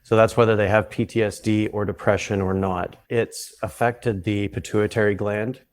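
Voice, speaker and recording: A man with a Canadian accent, a guest on an interview podcast, explaining his work in a relaxed way and often restarting his sentences. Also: lowish voice, normal speed. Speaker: guest, psychedelic retreat founder. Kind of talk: conversational interview.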